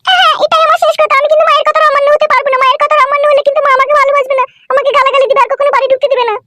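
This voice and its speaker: A woman voicing a stubborn young wife in a comedy cartoon, with a squeaky childlike pitched-up voice and a bossy, insistent manner. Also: level tone, rapid-fire, heavy background noise. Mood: bossy, annoyed. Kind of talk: amateur comedy cartoon dubbing. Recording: loud music under the voice.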